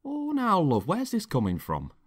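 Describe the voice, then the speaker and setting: A man's voice: high-pitched, wildly swooping pitch, normal speed, no echo, studio quality, pitched above the performer's own voice, full character voice. A man voicing a controlling boyfriend in an audio story, colloquial and mock-affectionate, with a temper under the cajoling. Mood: indignant, defensive. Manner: colloquial, calls listener love, cajoling then bickering. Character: controlling boyfriend, mock-affectionate, prone to sudden indignant rage. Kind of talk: dramatic monologue in an audio story.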